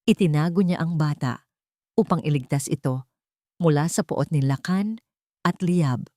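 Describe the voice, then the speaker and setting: A woman narrator reads a devoted male companion in a story drama, steady and reassuring. The pitch is on the low side for a woman, and the sound is dry. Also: no room sound.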